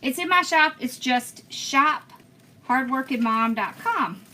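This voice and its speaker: A woman's voice: high voice, animated, slow pace, bright, forceful tone. A woman hosting a craft livestream, chatting casually and answering viewer comments as she works, often laughing. She was born in Pennsylvania and raised in Georgia. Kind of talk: casual live-stream chat while crafting.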